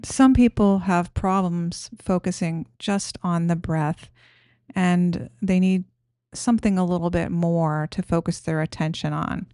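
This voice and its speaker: A woman guiding a meditation in a gentle, soothing, unhurried manner, giving simple instructions. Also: fairly low pitch, steady tone, clean tone, dry recording, clean studio sound. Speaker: meditation guide.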